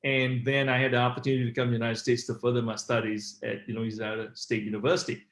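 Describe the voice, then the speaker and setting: A man's voice: mid-range voice, flat delivery, fast talker, fairly bright tone. A middle-aged man, telling his personal story conversationally as an interview guest. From Malaysia. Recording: roomy, echoey online call.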